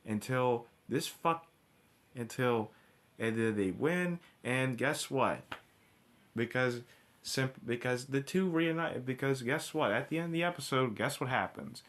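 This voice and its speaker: A man's voice: mid-range voice, fairly bright tone. A man giving informal, ranting review commentary, blunt and profane, often backtracking and restarting his sentences. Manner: halting, with repeated restarts and backtracking. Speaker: cartoon episode reviewer.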